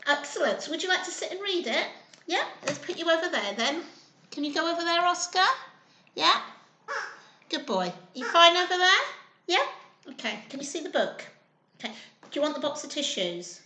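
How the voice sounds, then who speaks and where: Lady doing kids storytime, bright lively voice, talks to toddlers sing-song, roomy sound. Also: extremely high pitch, normal speed, pauses often, slight background noise. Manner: sing-song, encouraging, simple talk to small children. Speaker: childcare storytime host. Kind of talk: children's storytime reading and chat. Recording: roomy, echoey indoor sound.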